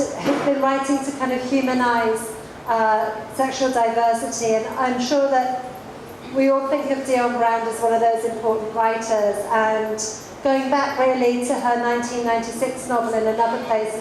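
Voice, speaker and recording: A woman with a moderately high voice hosts a literary event in an informal way. Her words ring heavily in a big echoing room, with slight noise in the background. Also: darkish tone. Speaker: event host. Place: large echoey room at a public event. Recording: very echoey hall, faint background noise.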